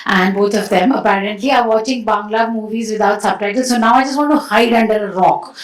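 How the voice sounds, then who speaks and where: A woman moderating a festival panel, asking thoughtful questions in an animated way with fillers. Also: mid-range voice, a little fast, echoey room. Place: festival stage hall.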